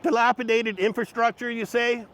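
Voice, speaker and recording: A man's voice: very high voice, level tone, normal speed, fairly bright tone. A man hosting a commentary video, mocking his subjects in a snarky, sarcastic way. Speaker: commentary host.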